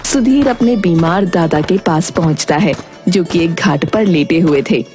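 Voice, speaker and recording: A woman's voice: on the low side, unhurried, darkish tone. A woman reading scripted narration for a children's story. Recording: prominent music behind the voice.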